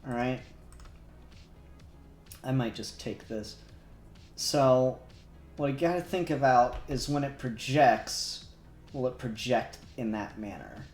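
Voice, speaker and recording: Slow, muttering man streaming his modelling work. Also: mid-range voice, darkish tone. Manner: self-conscious, muttering to himself as he works. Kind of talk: casual live-stream talk while 3D modelling. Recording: echoey room, faint music behind.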